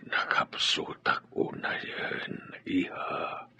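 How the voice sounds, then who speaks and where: A man's voice: extremely slow, bright, forceful tone, full character voice. A man playing a frail, ailing old father in a radio drama, tender, with a weak, whispery voice and labored delivery. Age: older. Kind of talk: Filipino radio drama acting.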